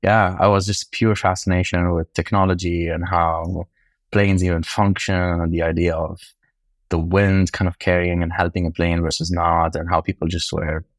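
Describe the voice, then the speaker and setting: Male podcast guest from Lebanon, low register, casual storyteller, very dry recording. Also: flat delivery, moderate pace, no echo.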